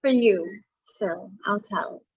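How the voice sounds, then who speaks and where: An actress playing a sly, greedy, not very bright young blackmailer in a noir radio drama, whiny and pouty. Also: female voice, mid-range voice, slow, husky, no echo, lo-fi sound. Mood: sly, grudging.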